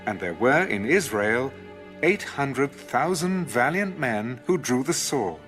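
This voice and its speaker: A man narrates scripture in a measured, storytelling way. The pace is slow and the inflection lively, with music clearly behind. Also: medium pitch. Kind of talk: scripted audio Bible narration.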